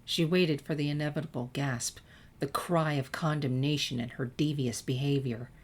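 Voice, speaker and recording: A low-voiced woman reads a story aloud in scripted narration. She works as a storyteller, delivering prose in the manner of an audiobook reader. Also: fairly even intonation, moderate pace, husky. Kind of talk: scripted fan fiction narration, audiobook reading.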